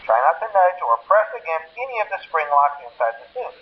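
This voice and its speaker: Over a dull, slightly noisy phone recording comes an awkward corporate trainer from a video game. His voice sits fairly high and swings around in pitch. He stumbles politely into each message, then delivers procedures with deadpan composure. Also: brisk. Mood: awkward, matter-of-fact. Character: nervous, cheerful corporate phone trainer at a pizzeria. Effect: telephone filter. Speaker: employee training caller. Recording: muffled lo-fi phone recording with faint noise.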